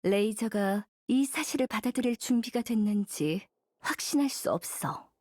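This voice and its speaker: A woman playing an elegant, languid witch librarian in an anime game, coy and unhurried, with a gently caring, teasing manner. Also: on the high side, animated. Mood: coaxing. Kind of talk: Korean anime game voice acting.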